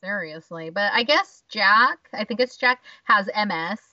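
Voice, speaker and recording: A woman's voice: medium pitch, expressive, measured pace, pushed and bright, no echo. A woman co-hosting a podcast, chatty and agreeable as she tells stories. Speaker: podcast co-host. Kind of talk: casual chat. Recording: dull, distant mic.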